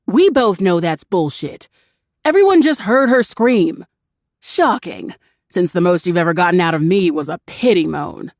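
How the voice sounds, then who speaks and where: A woman voicing a furious, scornful betrayed wife who shouts at her cheating husband, pitched up and loud. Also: animated, unhurried, dry recording, clean studio sound.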